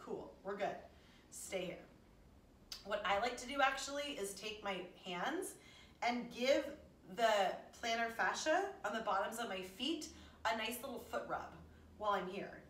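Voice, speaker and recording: A woman's voice: on the high side, expressive, measured pace, a bit bright. A chatty, encouraging woman in her thirties leading a casual stretching workout as a fitness instructor, recorded at home in a living room. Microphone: camera a few meters away. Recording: roomy, distant mic, faint room noise.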